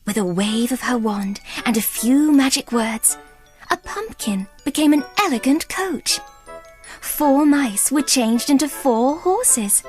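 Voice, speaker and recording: Expressive woman storyteller, unhurried, higher voice. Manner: expressive, dramatic. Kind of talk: scripted children's story narration.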